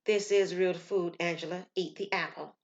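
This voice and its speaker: A woman's voice: fairly low pitch, fairly even intonation, moderate pace, highs cut off, close to an everyday voice. A woman voicing a worried, tender mother in an earnest way, in an audio story. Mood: firm. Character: worried, tender mother of a sick young daughter.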